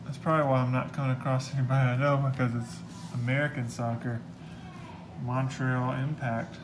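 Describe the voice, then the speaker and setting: A young man casually talking to himself while opening trading card packs at a table indoors. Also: fairly quick. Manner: relaxed, muttering to himself, low-key. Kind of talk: casual solo commentary. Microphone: camera across the table. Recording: loud crinkling and room noise.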